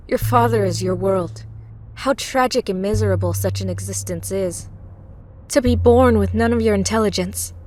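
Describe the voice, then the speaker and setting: A woman's voice: medium pitch, darkish tone, close to an everyday voice. A woman playing an earnest, sorrowful young woman in a dramatic comic dub.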